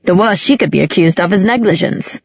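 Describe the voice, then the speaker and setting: A woman narrator voices a scornful young man from a novel. He is smug and drily sarcastic, with a husky tone, on a dry and rather dull recording. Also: on the low side, fairly quick, dry recording. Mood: dry, pedantic.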